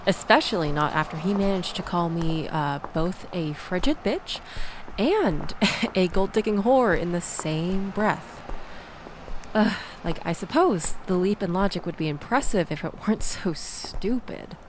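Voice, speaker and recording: Woman acting a slow-talking, chatty young woman. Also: on the low side, lively intonation, background noise. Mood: exasperated, venting. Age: young adult. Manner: chatty, sardonic, conversational with nervous laughs. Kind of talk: intimate audio roleplay acting, addressed to listener.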